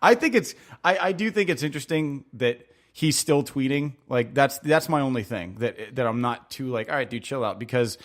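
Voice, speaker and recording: A man in an easygoing podcast chat, speaking at an ordinary mid pitch. He rambles through his points with plenty of fillers and laughs easily along the way. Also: lively intonation, moderate pace, few pauses. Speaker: podcast co-host. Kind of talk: casual chat.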